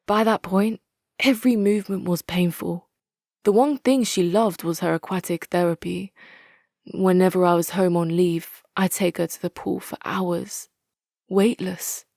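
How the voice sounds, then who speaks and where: An actress playing a hard-nosed, articulate female military commander in a strategy game, speaking in a measured, wry, authoritative way. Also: lowish voice, vocal fry, darkish tone, no room sound, clean studio sound. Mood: wistful. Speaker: video game faction leader. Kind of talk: dramatic scripted game quotes.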